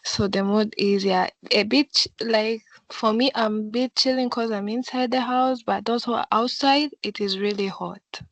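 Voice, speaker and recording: A woman's voice: on the high side, steady tone, moderate pace, few pauses, no echo. A woman from Rwanda, a workshop participant speaking casually over a video call.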